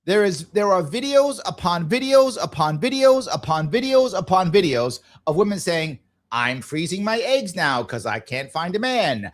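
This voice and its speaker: A man hosting a live talk show, giving blunt, argumentative commentary and repeating phrases emphatically to drive points home. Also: on the high side.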